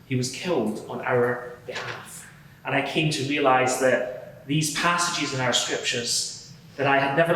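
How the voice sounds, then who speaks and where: A man playing an earnest converted Pharisee giving testimony in a courtroom drama, explaining himself haltingly with repeated words. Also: mid-range voice, a bit bright, natural voice. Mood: earnest, explanatory. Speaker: witness at a dramatised inquiry. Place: large reverberant courtroom before a live gathering. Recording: echoey hall, distant mic, faint noise.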